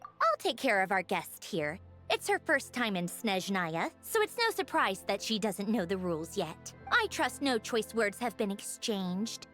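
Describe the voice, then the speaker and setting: A woman's voice: mid-range voice, sing-song, unhurried, a bit bright, soft background music. A woman playing a poised, teasing noblewoman in authority in a video game, haughty yet caring, with polished wry phrasing. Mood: cool authority. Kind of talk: video game character acting.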